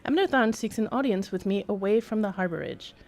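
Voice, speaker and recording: Creaky-voiced woman streaming a video game. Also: mid-range voice, expressive, brisk, darkish tone. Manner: rambling, thinking aloud. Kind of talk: casual live-stream gameplay commentary.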